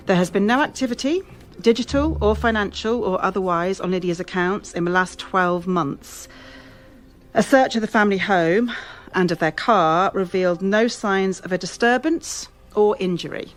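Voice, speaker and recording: An actress playing a brisk, authoritative police officer in a radio drama, speaking in a measured, official manner. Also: female voice, on the low side, lightly acted. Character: brisk, authoritative female police officer leading a case briefing. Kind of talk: formal police briefing, radio drama acting.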